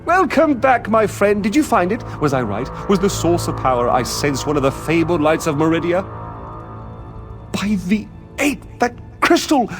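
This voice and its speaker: An actor playing an eager scholarly mage in a video game, with a formal, emphatic and theatrical manner. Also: male voice, sits high, very animated, normal speed, over music. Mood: excited. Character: eager scholarly male mage leading a war campaign. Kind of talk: dramatic video game acting.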